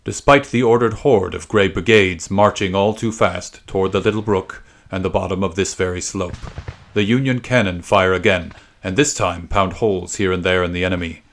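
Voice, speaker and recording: Deep-voiced man narrating a dramatic story. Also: fairly even intonation, moderate pace. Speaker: narrator of a literary podcast.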